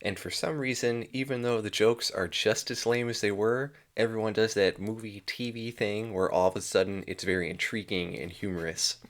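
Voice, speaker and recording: A man's voice: lowish voice, steady tone, moderate pace, few pauses. A man co-hosting a casual TV episode review, chatting in a wry way. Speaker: reviewer, co-host.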